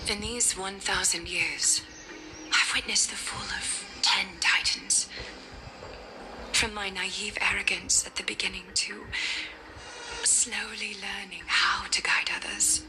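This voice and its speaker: Hushed, slow, solemn demigod woman voiced by an actress. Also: medium pitch, fairly even intonation, in a whisper, close to an everyday voice, rough recording. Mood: solemn, resigned. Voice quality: soft, breathy. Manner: reflective, poetic, gentle. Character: weary, solemn, ancient female demigod saying farewell. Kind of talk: video game voice acting. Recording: music under the voice. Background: game soundtrack music.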